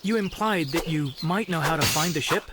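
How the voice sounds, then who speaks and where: Guy acting hot-headed young hero from a video game, determined, a bit high, noisy crickets behind. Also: moderate pace, lightly acted. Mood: probing. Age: young adult. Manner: direct, challenging. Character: bold, impetuous young hero, eager and determined. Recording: clear insect and environmental noise behind.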